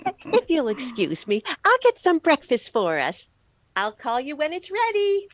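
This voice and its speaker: A woman's voice: sits high, huge pitch swings, a little slow, exaggerated voice. A woman playing a fussy, playful landlady in a 1940s-style radio comedy, speaking in a fluttery, singsong way. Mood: fussy, hospitable. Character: fussy, chirpy, playful landlady serving breakfast.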